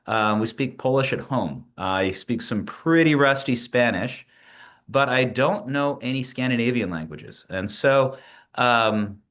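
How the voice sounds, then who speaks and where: A man in his late forties presents his project over a shared screen at a mid pitch. He talks in a measured way, pausing often with filler sounds and restarting words, like a student presenting to a seminar. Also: a bit bright. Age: 40s.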